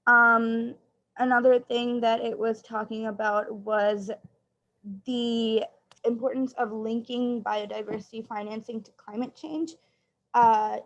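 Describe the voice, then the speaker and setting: A woman's voice: fairly high pitch, fairly even intonation, slow, a bit bright. A woman reporting back in an online meeting, summarizing a document point by point with frequent ums. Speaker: youth summit participant. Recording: muffled lo-fi audio.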